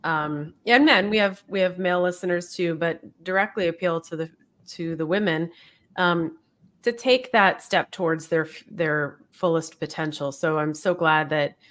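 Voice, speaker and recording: A woman's voice: fairly low pitch, moderate pace. A woman hosting an interview podcast, talking upbeat and effusive in a casual way. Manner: upbeat, effusive, warm thanks. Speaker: podcast host.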